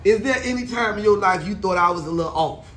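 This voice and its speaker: Young dude joking with his pops, high and bright, echoey room. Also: fast talker. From Miami. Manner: playful, laughing, teasing questions. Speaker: son interviewing his father. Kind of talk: casual chat. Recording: echoey room, faint noise.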